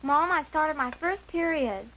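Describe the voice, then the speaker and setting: A woman's voice: sits high, lively intonation, measured pace, lo-fi sound. A woman playing an eager, innocent American girl of about twelve in a 1950s educational film, speaking in an earnest, exclamatory way. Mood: shyly excited.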